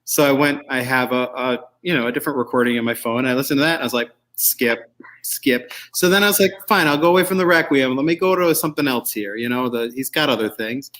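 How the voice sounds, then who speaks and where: A man's voice: mid-range voice, quick pace, fairly bright tone. A man hosting a casual panel chat about choral music, joking and informal, with strong opinions. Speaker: show host.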